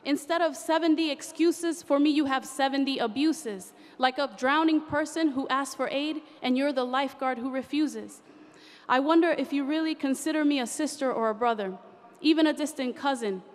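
A young woman with a high voice recites rhyming spoken word poetry at a podium mic. Her delivery is rhythmic and impassioned, and it carries a long echo through a large convention hall. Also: normal speed. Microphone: podium microphone.

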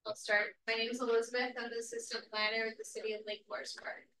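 A woman's voice: a little high, flat delivery, fast, pushed and bright, recorded from a distance. A woman introducing herself as assistant planner at a city meeting, brief and plain. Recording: echoey room, off-mic.

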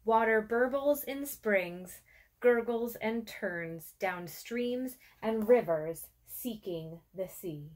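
A woman in her thirties reading aloud to children as an educator, in an expressive storytime style with measured, deliberate phrases and pauses. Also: a little high. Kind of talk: expressive children's picture-book read-aloud. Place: small furnished room with armchair and bookshelf, indoors.

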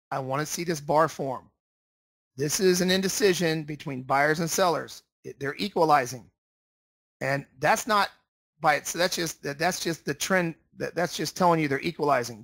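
Higher-voiced man teaching, dry sound. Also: fairly bright tone, dry recording. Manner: emphatic, repetitive explaining. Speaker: trading room instructor. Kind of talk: informal instructional screen-share presentation. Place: indoors, voice-over for screen share.

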